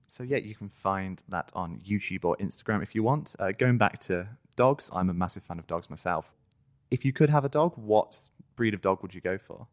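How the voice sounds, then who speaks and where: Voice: low-pitched, lively intonation, moderate pace, dry recording, clean studio sound. A podcast host running a casual interview, asking loose questions with filler ums.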